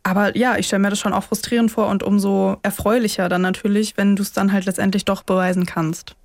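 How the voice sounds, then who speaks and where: A woman chatting casually as a podcast co-host, informal and quick to laugh. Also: mid-range voice, level tone, vocal fry, dry recording, studio quality. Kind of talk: casual chat between co-hosts.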